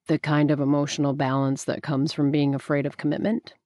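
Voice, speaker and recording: A woman's voice: low voice, little pitch movement, fairly quick, warm tone, no room sound, studio quality, voice pushed down, close to an everyday voice. A woman doing a blunt, sarcastic young man. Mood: needling. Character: brash, teasing young man, male friend.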